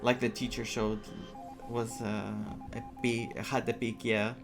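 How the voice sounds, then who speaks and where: A man's voice: medium pitch, little pitch movement, takes it slowly, creaky. A man who is a native Greek speaker doing casual playthrough commentary, reacting aloud and explaining words as he reads them. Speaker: gaming streamer. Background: game music.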